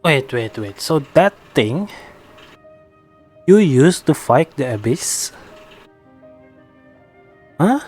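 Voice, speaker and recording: A man giving casual live gameplay commentary as a streamer, reacting in short, halting remarks. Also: fairly high pitch, big pitch swings, darkish tone, breathy edge. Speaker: game streamer. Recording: faint game music behind.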